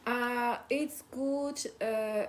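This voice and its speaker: A woman's voice: a little high, fairly even intonation, very slow, a little hiss or hum. A woman therapist explaining her work as a guest in a casual chat, enthusiastic and saying "you know" a lot.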